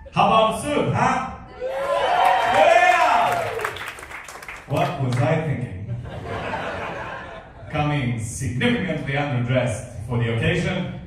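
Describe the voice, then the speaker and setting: A man from Croatia with a Croatian accent and Croatian as his first language, doing a wry, self-deprecating stand-up set with halting restarts. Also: on the high side, far from the mic, background noise. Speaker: stand-up comedian. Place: comedy club stage. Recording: echoey club taped from afar with crowd.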